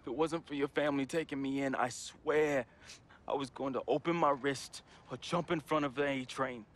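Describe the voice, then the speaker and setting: A man's voice: medium pitch, steady tone, normal speed, lightly acted. A man voicing a heartfelt, troubled young man. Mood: somber. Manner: heartfelt, confessional, casual slang. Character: troubled young man, a friend writing a farewell letter. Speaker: game character narrating a farewell letter. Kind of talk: emotional voice-over monologue. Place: studio voice-over.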